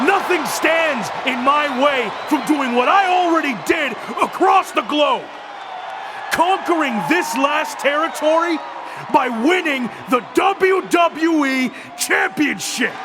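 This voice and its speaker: Casting: cocky game wrestler, high projected voice, slow emphatic promo delivery over arena crowd noise. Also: male voice, exaggerated voice. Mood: fierce, triumphant. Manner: emphatic, deliberate arena speechmaking. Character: defiant returning pro wrestler, cocky and determined. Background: loud arena crowd ambience.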